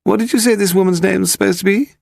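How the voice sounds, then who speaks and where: A man's voice: high-pitched, steady tone, very fast, creaky, darkish tone, no room sound, clean studio sound, voice pushed up. A man voicing a haughty, pedantic English aristocrat, a frail lady in her eighties, in an upper-class accent for an audiobook story. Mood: malicious, dismissive. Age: 80s. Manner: pedantic, grand, derisive, demanding. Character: imperious frail aristocratic old lady of eighty-five, malicious.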